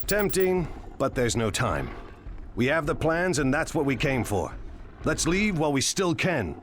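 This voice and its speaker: An actor playing a smug, ambitious crime lord in a video game, wry and grandiose in manner. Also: male voice, a little high, very animated, unhurried, a little hiss or hum. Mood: wry, urgent. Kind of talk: video game cutscene acting.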